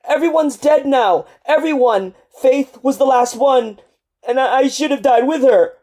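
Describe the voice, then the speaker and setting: A woman's voice: high voice, a little slow, warm tone, pitched above the performer's own voice. A woman voicing a grief-stricken young male marine who is crying, his words strained and tumbling out. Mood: anguished.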